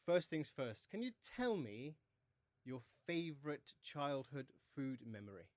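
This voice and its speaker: A man's voice: mid-range voice, very animated, unhurried, darkish tone, no echo. A man hosting a podcast interview, asking questions in a casual, conversational way.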